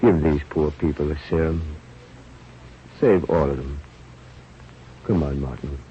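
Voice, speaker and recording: A man's voice: extremely low pitch, unhurried, dark tone. Boisterous, big-hearted male adventurer, declamatory, for vintage radio drama; dull, slightly hissy recording. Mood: weak, dying, peaceful. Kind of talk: 1930s radio drama acting. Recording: muffled, noisy old radio recording.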